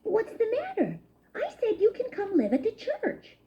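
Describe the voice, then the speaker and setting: A woman voicing an eager young boy church mouse in a childlike, excitable way for a children's story. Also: very high voice, unhurried, dull audio, pitched above the performer's own voice, heavily acted. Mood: urgent, excited.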